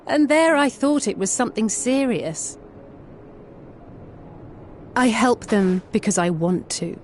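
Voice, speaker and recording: Game role, self-assured, good-hearted heroine: slightly high female voice, composed and direct, a little noise behind. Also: animated, slow, pauses often. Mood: calm conviction. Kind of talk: video game cutscene acting.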